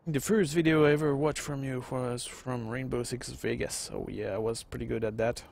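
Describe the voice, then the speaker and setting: A man's voice: mid-range voice, fairly even intonation, moderate pace, vocal fry, darkish tone. A man in his thirties with a Quebec French accent, casually commenting on a strategy game and chatting with viewers, rambling with many fillers. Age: 30s. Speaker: gaming video host. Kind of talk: casual let's-play commentary.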